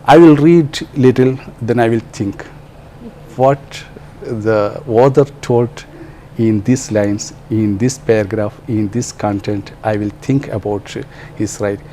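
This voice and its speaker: A man from Kerala speaks English with a Malayali accent, his voice mellow and warm. He answers interview questions haltingly, leaning on fillers and repeating words as he restarts sentences. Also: on the low side, a little hiss or hum. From Kerala, India. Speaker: translator being interviewed.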